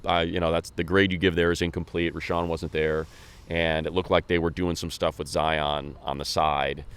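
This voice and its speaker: A man's voice: extremely low pitch, clear, resonant tone. An American man hosting a sports show, talking casually in a rambling, slangy way. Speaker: sports radio host.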